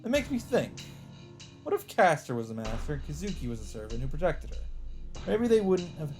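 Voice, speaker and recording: A man's voice: on the high side, sing-song, a little fast, darkish tone. A man hosting a let's play, reading visual novel narration aloud in a dramatic storytelling manner. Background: game music.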